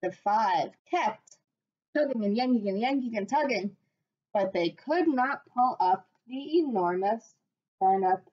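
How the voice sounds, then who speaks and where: A woman in her thirties, a teacher, reading a picture book aloud on a webcam at home in a slow, expressive voice. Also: a little high. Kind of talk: storybook read-aloud on webcam. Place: small room at home. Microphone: webcam at desk. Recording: dull lo-fi webcam audio.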